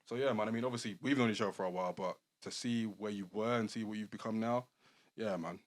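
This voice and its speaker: A man's voice: on the low side, steady tone, fast talker. A man hosting a casual podcast, talking in a laid-back, slangy, encouraging way. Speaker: podcast host.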